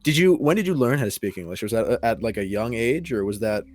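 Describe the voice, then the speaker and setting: A man hosting a podcast, talking in a relaxed way, swearing casually and laughing often. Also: fairly low pitch, fast talker. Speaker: podcast host.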